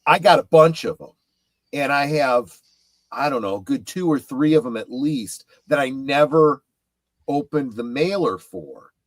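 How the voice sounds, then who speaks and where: A middle-aged man chatting casually about collecting, explaining things in a halting way. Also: mid-range voice, dry recording.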